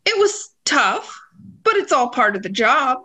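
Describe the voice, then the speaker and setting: A woman's voice: high-pitched, sing-song, measured pace, pushed and bright, heavily acted. An actress playing an evasive young female club performer, theatrical and breezy in a melodramatic radio play. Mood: dramatic.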